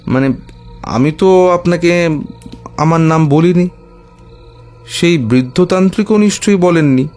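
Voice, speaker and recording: An actor playing a tantric who recounts his own eerie adventures in a suspenseful horror audio story, with hesitant pauses. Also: on the high side, a little mellow, faint music behind, close to an everyday voice. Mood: puzzled, probing.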